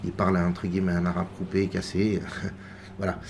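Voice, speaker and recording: Deep gravelly man, halting speech. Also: completely flat delivery, darkish tone, slight background noise. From France. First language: French. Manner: halting, many repeated words and fillers. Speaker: religious video maker. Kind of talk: unscripted religious commentary.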